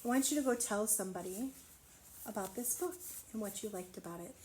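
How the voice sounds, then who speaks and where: A woman reading a storybook aloud to children, expressively, pausing to wonder aloud and explain things simply. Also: mid-range voice, a little mellow, a little hiss or hum, poor audio. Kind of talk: children's read-aloud with asides to the listener.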